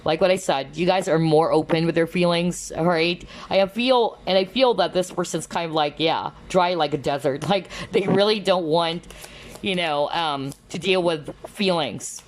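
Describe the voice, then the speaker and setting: Woman, tarot reader, low voice, chatty and exclamatory, casual pick-a-card reading. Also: moderate pace. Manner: chatty, checks in with tag questions; animated, exclaims often.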